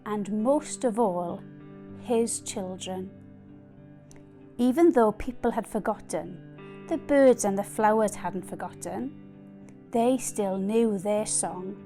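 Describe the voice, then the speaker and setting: A woman's voice: mid-range voice, a little mellow. A woman reading aloud from a children's Bible story. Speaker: story reader.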